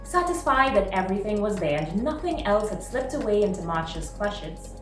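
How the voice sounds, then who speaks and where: A woman narrates a short story with expressive, dramatic delivery. Her voice sits at an average pitch and sounds roomy and a little away from the microphone. Music plays clearly behind her. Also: moderate pace, recorded from a distance. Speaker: storyteller reading short stories aloud.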